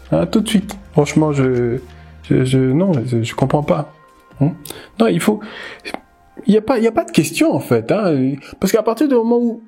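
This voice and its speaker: A man from the DR Congo, a language teacher, giving animated, emphatic commentary and repeating words as he thinks aloud. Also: high-pitched, creaky, slightly rough. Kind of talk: casual commentary video.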